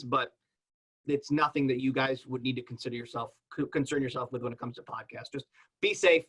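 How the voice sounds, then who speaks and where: A man's voice: medium pitch, a bit bright, rough recording. A man on a panel explaining things in a casual, conversational, plain-spoken way. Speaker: podcast panelist.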